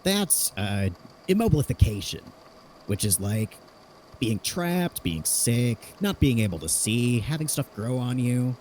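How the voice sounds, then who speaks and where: A man in a podfic audio drama playing an eager, sheepish inventor who rambles haltingly as he explains his grim work. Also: medium pitch, animated, a little mellow, slight background noise, close to an everyday voice. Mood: eager, explaining. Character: eager rambling inventor cheerfully cataloguing fears, sheepish about deaths.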